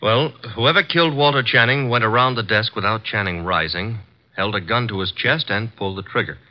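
An actor playing a brisk, wisecracking male assistant detective in a 1950s radio drama, matter-of-fact and wry, on a muffled old broadcast recording. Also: on the low side, natural voice.

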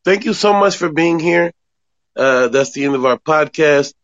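A man's voice: on the high side, a bit bright, no echo. Encouraging male podcast host. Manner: encouraging, measured. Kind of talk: casual interview. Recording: dull lo-fi recording.

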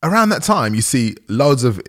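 A man's voice: mid-range voice, sing-song, a bit bright. A man hosting a podcast, chatting casually with his guest, teasing and laughing often. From Nigeria. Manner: teasing, laughs often, quick back-and-forth. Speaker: podcast host. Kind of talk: casual interview chat.

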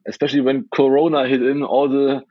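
A man's voice: mid-range voice, flat delivery, brisk, no room sound, lo-fi sound. A man from Hungary who grew up in western Germany, talking in a casual interview, hesitant and full of restarts and fillers. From Hungary, raised in western Germany. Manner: hesitant, many restarts and fillers, laughs often. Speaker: interview guest, designer and illustrator.

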